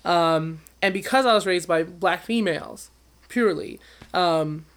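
A man who sings professionally, talking as an interview guest with a high voice and an animated, storytelling manner. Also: unhurried. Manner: animated storyteller, acts out quotes with big leaps in pitch. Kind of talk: conversational interview.

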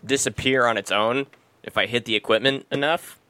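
A man's voice: medium pitch, fairly even intonation, a little fast, sharp tone, a little hiss or hum. A man co-hosting a podcast in casual, irreverent banter, joking and ranting with plenty of profanity and sarcasm. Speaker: podcast co-host.

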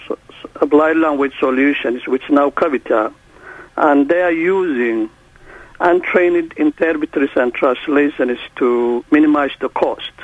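A man whose first language is Somali, a court interpreter speaking as a guest in a halting way, with many restarts. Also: fairly high pitch, dry recording. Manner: halting, restarts often, non-native phrasing. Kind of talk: phone interview. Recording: tinny phone line.

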